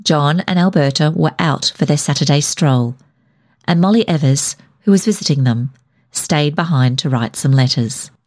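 Low-voiced woman reading a literary story. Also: normal speed, darkish tone. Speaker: story reader. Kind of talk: scripted literary narration of a short story.

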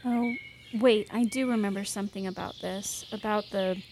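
A woman's voice: mid-range voice, fairly even intonation, normal speed, a little mellow, a little hiss or hum, lightly acted. An actress playing an anxious, guilt-ridden woman in an audio drama, speaking earnestly and with exasperated emotion. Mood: ashamed, earnest. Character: anxious, guilt-ridden woman, abuse survivor facing an inquiry. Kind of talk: fiction podcast audio drama acting.

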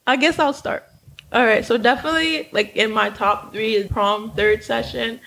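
A woman's voice: fairly high pitch, fairly even intonation, moderate pace, creaky, a bit bright, rough recording. A sixteen-year-old girl talking in a laid-back, slangy way. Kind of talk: casual group chat.

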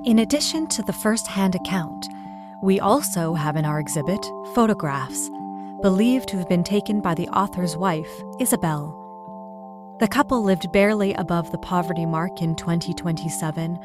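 An actress playing a composed museum exhibit narrator, speaking in a measured, formal theatrical style. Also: female voice, mid-range voice, lightly acted.

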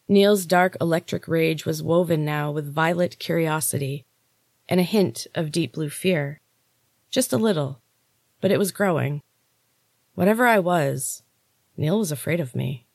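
A woman's voice: low-pitched, dry recording. A woman hosting a story podcast and reading fiction aloud as a narrator. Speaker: author and podcast host. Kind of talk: storytelling narration of own novel.

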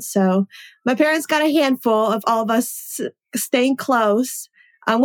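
A woman's voice: medium pitch, a little mellow. Chatty young woman, native Polish speaker. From Poland. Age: 20s. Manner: chatty, upbeat storytelling. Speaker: guest. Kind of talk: casual interview conversation.

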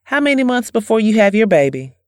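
An actress playing a gentle, trusting wife and mother, politely spoken, in an audio drama. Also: on the high side, big pitch swings, a little fast, dry recording, studio quality, faint music behind. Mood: friendly.

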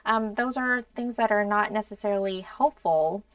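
A woman co-hosting a podcast, explaining earnestly in a casual way, with many pauses and trailing phrases. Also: medium pitch, a bit bright, no echo, distant mic. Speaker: podcast co-host.

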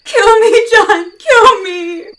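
A woman's voice: extremely high pitch, very slow, a bit bright, full character voice. An actress playing a frightened, resigned woman lost in time, in the dramatic style of old-time radio horror. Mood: hysterical terror.

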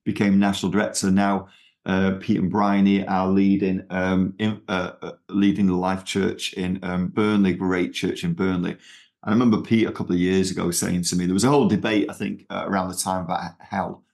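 A middle-aged man with a Manchester accent speaks very low, his voice gravelly with fry. He talks loosely as an interview guest, circling back with fillers and restarts. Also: flat delivery, fairly quick, a little mellow. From England. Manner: rambling, with many fillers and restarts. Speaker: ministry founder, guest. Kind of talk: conversational interview.

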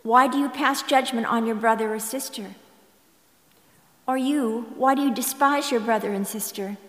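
A woman reading scripture aloud to a congregation in a slightly halting way, recorded in a reverberant church. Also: a little high, a bit bright. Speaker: scripture reader at a church service. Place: large indoor church space. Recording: echoey, reverberant church hall.

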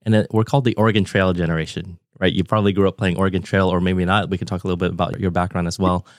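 A man's voice: low-pitched, little pitch movement, rapid-fire, dry recording, studio quality. A man of about forty hosting a podcast interview over a remote call, talking in a conversational, enthusiastic and discursive way. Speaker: podcast host.

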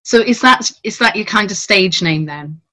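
A woman's voice: medium pitch, animated, normal speed, pushed and bright. A middle-aged woman hosting a podcast in a conversational interview style. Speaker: podcast and radio host interviewing a guest.

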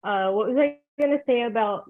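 A woman in her twenties from New Jersey, a podcast guest chatting in a hesitant, soft-spoken way and trailing off often. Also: on the high side, fairly quick. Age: 20s. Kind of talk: casual interview chat. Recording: tinny phone-like call audio.

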